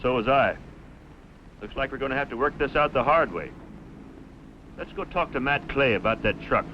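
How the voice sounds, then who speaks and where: A man's voice: medium pitch, lively intonation, moderate pace, slight background noise, natural voice. Plain-spoken country sheriff, played by a man, muffled recording. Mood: businesslike. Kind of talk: old-time western radio drama acting. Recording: muffled vintage radio recording, loud music.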